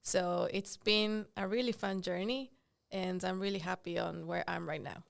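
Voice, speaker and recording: A woman's voice: lowish voice, steady tone, normal speed, gravelly, clean tone. A woman from Ecuador, a native Spanish speaker with an Ecuadorian accent, talking casually as a podcast guest. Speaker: digital marketer, podcast guest. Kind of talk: casual interview talk.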